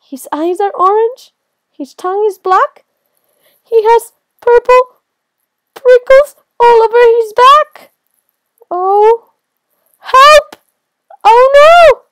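Woman voicing a small, cheeky storybook mouse, lively pitch. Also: takes it slowly, no echo, full character voice. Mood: terrified. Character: small, clever, cheeky brown mouse, bluffing boldly. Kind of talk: children's storybook character voice.

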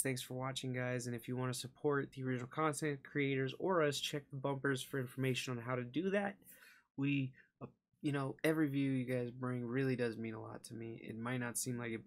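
A man's voice: mid-range voice, steady tone, quick pace. A young man hosting a reaction video with casual, rambling, hesitant commentary from a room at home. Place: small indoor room at home.

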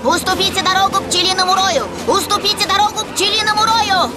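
With loud music behind, a brave young cartoon helicopter robot. The voice is high and boyish, earnest and urgent, always encouraging. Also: female voice, fairly even intonation, brisk, over a noisy crowd. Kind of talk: children's cartoon dub.

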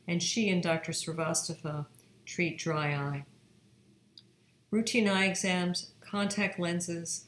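A woman in her fifties, an eye surgeon hosting a webinar, reading her presentation in a measured way. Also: lowish voice, reverberant. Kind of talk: read-aloud presentation narration.

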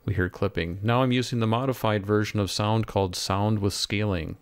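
Low-voiced man narrating a software tutorial. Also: steady tone, brisk, dry recording, clean studio sound. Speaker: instructor. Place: close, dry voice-over for screen recording.

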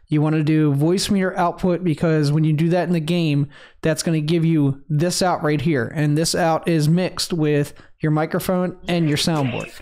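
Chill guy talking through his stream audio setup, slightly high voice, lots of uhs and ums. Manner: relaxed, rambling explanation with fillers. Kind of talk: casual screen-recorded tutorial.

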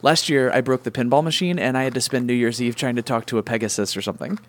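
A man's voice: mid-range voice, fast, natural voice. A man playing a casual, sarcastic god of flashing light in a comedic radio play. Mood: offhand, dismissive. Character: flippant, lazy talking flashy-light god.

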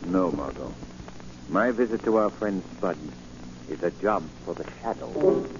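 An actor playing a decisive gentleman detective, formal and articulate, in 1940s radio drama style. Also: low voice, lively intonation, measured pace. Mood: assured, decisive. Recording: muffled, lightly noisy 1940 radio recording.

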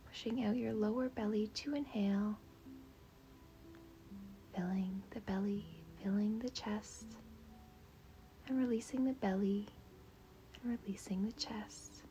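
A woman leading a guided meditation in a soft, breathy, half-whispered voice, gentle, soothing and unhurried. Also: medium pitch, steady tone, soft background music.

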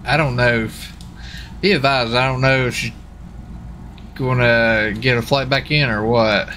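A man's voice: medium pitch, steady tone, unhurried, sharp tone. A man who is streaming a roleplay video game, talking casually in a folksy, chatty way. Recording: noisy engine and street sounds behind. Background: vehicle and game ambience.

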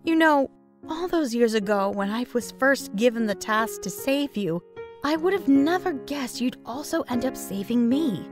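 A woman voicing a kindly, gracious aunt pony in a cartoon comic dub, genteel, sincere and gently teasing. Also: fairly high pitch, expressive, moderate pace, lightly acted. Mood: heartfelt. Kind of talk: animated comic dub acting. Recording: voice under clear background music.

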